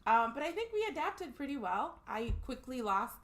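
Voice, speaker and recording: A woman in her thirties, from Somalia, a podcast guest telling her story in a measured, calm way. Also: high-pitched. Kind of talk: casual interview chat.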